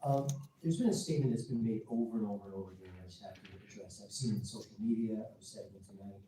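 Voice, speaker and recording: A man's voice: lowish voice, fast, warm tone, a little hiss or hum. A man speaking as the town's attorney at a council meeting, emphatic and insistent. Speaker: municipal attorney. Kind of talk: public meeting remarks. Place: council chamber. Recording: echoey chamber from far off.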